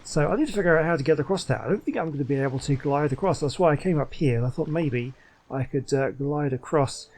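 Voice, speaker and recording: A man's voice: fairly high pitch, quick pace, a little mellow. A man giving casual, chatty commentary while playing a game, thinking aloud as he goes. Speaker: gaming host.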